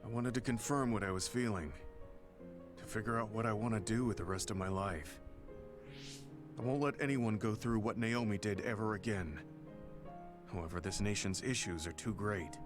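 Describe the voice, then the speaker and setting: A reserved older soldier , acted by a man, speaks in a low, measured voice. He is earnest and formal, like a dramatic game cutscene, with prominent music underneath. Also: lightly acted. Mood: resolved. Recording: loud music behind the voice. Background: background music.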